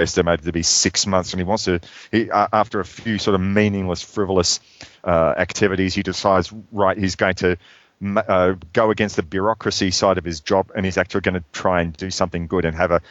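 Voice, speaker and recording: A man's voice: low-pitched, no room sound, dull audio. A man chatting casually about films as a podcast co-host, rambling with many fillers and restarts. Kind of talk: casual film chat.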